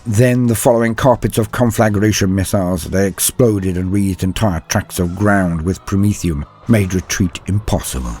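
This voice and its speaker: A man delivering dramatic scripted narration as a host, solemn, theatrical and grandiose, with a husky voice. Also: sits low, moderate pace, few pauses, a little mellow. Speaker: host and narrator of a lore show.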